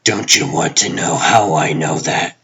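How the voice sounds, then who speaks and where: A man voicing a guarded, commanding TV producer who is secretly a superhero in a comic dub, speaking tersely and deliberately in a husky, rough voice. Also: extremely low pitch, pushed and bright, exaggerated voice. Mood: probing, terse.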